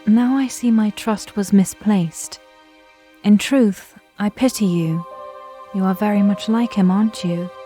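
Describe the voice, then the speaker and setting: A woman playing a solemn young noblewoman in an audio drama, speaking in a formal, measured, gravely reproachful way. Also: mid-range voice, fairly even intonation, mellow, faint music behind, lightly acted.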